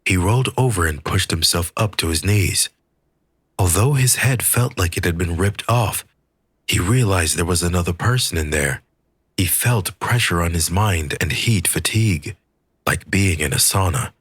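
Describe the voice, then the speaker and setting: A man reading a scripted story aloud as an audiobook narrator, with a husky voice. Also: low-pitched, expressive, normal speed, fairly bright tone, dry recording.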